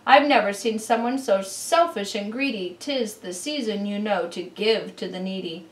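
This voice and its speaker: A woman voicing a dramatic, exasperated pet owner in a storybook, emphatic and loud. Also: mid-range voice, normal speed, natural voice. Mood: exasperated, scolding. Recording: roomy, distant camera microphone.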